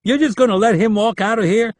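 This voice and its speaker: A rigid young male air force captain, shrill and protesting, as a man voices him. His voice rides well above the narration and stays loud. The sound is dry and close. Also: animated, fairly quick, no echo. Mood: indignant.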